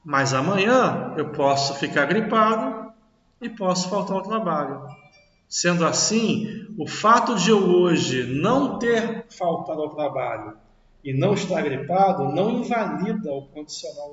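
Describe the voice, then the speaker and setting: A man with a Rio de Janeiro accent teaches a logic class. He talks in a lively way, asks the class questions and answers them himself. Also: a little high, normal speed. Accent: Rio de Janeiro Portuguese. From Brazil. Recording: echoey classroom, distant dull mic.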